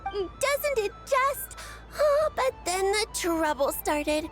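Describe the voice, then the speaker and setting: Cutesy little game critter, extremely high, theatrical swoops, mischievous, boastful. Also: female voice, big pitch swings, slow, faint music behind, full character voice. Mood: dramatic. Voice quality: childlike, cutesy. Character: mischievous little talking furry mascot creature, boastful. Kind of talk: video game voice acting.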